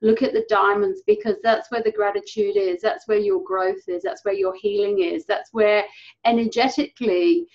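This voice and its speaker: A woman's voice: mid-range voice, fairly even intonation, moderate pace, dull audio. A woman from England with an English accent, hosting an interview and talking about spirituality over a video call. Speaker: interview host. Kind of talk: casual interview chat.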